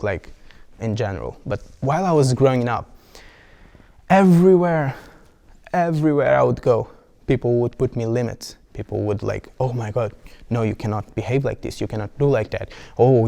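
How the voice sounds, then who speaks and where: A man's voice: medium pitch, darkish tone. A young man with a Brazilian accent, rambling playfully with many restarts as he talks to camera. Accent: Brazilian Portuguese. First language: Portuguese. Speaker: vlogger. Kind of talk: casual talk to camera.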